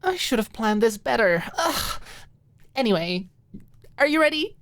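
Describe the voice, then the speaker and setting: A woman voicing an excitable, gushing, easily flustered young woman in an audiobook. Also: a little high, unhurried, dry recording, pitched above the performer's own voice, full character voice. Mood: flustered, apologetic. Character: bubbly, eager, self-doubting young woman hosting a sleepover. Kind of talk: audiobook character dialogue.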